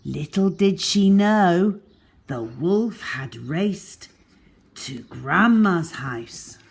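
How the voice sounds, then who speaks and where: A woman telling a children's story in an expressive storybook narration style. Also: fairly low pitch, very slow, gravelly.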